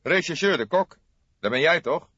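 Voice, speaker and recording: A man's voice: on the high side, lively intonation, moderate pace, breathy edge, no echo, dull audio, voice pushed up, exaggerated voice. Man doing a peevish, raised-voice desk sergeant. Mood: grudging, informative. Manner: peevish, loud, emphatic. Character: grumpy, reproachful male police desk sergeant.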